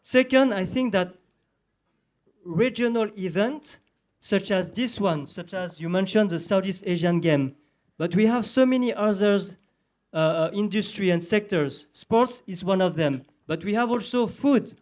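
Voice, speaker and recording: A man with a French accent gives a panel talk in a high voice, stopping often to search for words. He speaks as a panelist addressing a room. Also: darkish tone. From southern France. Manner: hesitant, many filler pauses. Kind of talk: conference panel speech.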